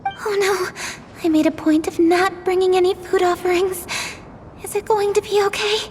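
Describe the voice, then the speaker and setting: An earnest high-voiced young official. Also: female voice, fairly even intonation, normal speed, slight background noise. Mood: worried. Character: poised, responsible young female city official. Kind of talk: video game voice acting.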